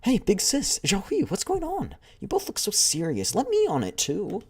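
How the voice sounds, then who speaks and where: A man with a deep voice voicing an eager, excitable young female squad member who pleads and protests in a raised voice. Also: normal speed, husky, heavily acted. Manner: pleading, high-strung.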